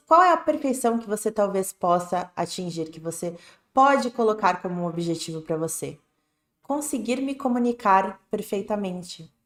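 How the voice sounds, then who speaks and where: A woman with a Brazilian Portuguese accent, teaching an English lesson on a live stream in a casual, direct way, often checking in with "né?" and "tá bom?". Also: on the high side. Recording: echoey room.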